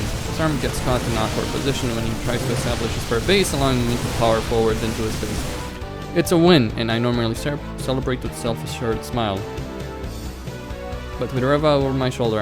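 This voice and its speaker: Guy doing a let's play, reading story text out loud, trips on words a bit, music playing behind him. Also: medium pitch, steady tone, a little fast. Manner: stumbles over words while reading. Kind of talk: reading a visual novel aloud with side comments.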